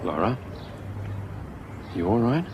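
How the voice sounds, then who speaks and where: A man playing a father who speaks softly and hesitantly, trying to comfort. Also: on the low side, big pitch swings, slow, lo-fi sound, slight background noise.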